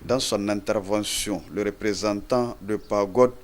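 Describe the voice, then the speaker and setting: Low-pitched male news reporter. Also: fairly even intonation, unhurried. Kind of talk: scripted news report narration.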